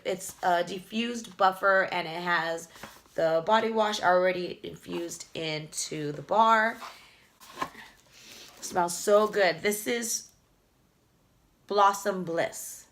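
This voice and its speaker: A woman beauty vlogger chatting casually through an unboxing, hoarse from a lingering cough, rambling fondly about each item. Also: on the low side, fairly bright tone. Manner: chatty, fond, rambling product talk with fillers. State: hoarse, losing her voice from a cough.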